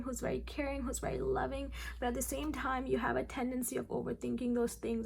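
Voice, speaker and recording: A young woman speaks with a hoarse, raspy voice, slightly high and gravelly, after losing her voice. She chats directly to her viewers through a casual tarot reading, filling pauses with ums, and faint noise sits under the recording. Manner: chatty, addresses viewers directly with frequent ums. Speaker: tarot card reader.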